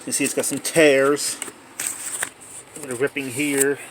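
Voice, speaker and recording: Bright-voiced man narrating an unboxing. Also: mid-range voice, flat delivery, a little slow, a little hiss or hum, low-quality recording. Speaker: amateur unboxing video maker. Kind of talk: casual off-the-cuff unboxing narration. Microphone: handheld cell phone.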